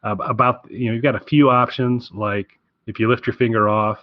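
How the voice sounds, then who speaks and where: A man's voice: medium pitch, fairly even intonation, normal speed, dry recording, lo-fi sound. A man co-hosting a podcast in loose, casual chat. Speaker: podcast co-host.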